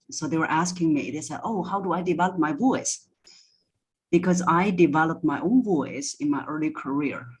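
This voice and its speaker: A woman who works as a voice coach, answering interview questions conversationally with measured, hesitant phrasing. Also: sits low, rough recording. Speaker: voice coach and podcast guest.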